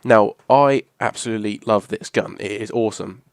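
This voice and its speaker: A hoarse young man, rambling casually through a video game weapon review. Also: fairly low pitch, normal speed, no echo, clean studio sound. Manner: rambling, restarts a lot, casual swearing. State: hoarse from a cough. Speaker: gaming channel host. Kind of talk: casual gameplay commentary.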